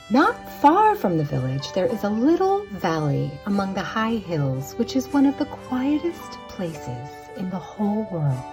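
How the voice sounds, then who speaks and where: Slow, expressive woman narrating a spooky tale. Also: lowish voice. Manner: theatrical, deliberate phrasing with drawn-out words. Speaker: storyteller, narrator. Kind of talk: dramatic scripted narration of a spooky storybook tale. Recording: music behind the voice. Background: instrumental music.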